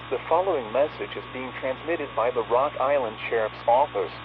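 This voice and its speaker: A man's voice: medium pitch, moderate pace, close to an everyday voice. Tinny radio emergency alert announcer voiced by a man. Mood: impersonal, urgent. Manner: formal, impersonal. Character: male emergency alert announcer voice, flat and official. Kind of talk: scripted emergency alert broadcast reading. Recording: noisy tinny radio-like narrow band.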